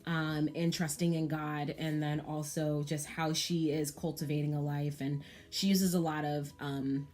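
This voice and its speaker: A woman's voice: deep voice, level tone, measured pace, few pauses, a little mellow, faint music behind. A woman in her thirties chatting candidly to camera about planning, with frequent ums and you-knows. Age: 30s. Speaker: planner vlogger. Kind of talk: casual chat to camera.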